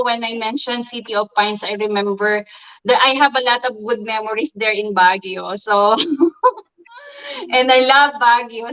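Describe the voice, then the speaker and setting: A woman's voice: mid-range voice, muffled recording. A woman from the Philippines hosting a live online interview, talking hesitantly with many ums and restarts. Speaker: livestream host.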